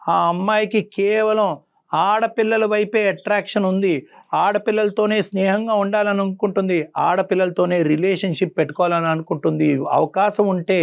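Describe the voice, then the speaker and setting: Fast-talking, gravelly-voiced male counselor. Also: high-pitched. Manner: earnest, persuasive, pleading repeatedly. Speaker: doctor counseling a caller. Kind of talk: on-air counseling talk. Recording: dull lo-fi sound.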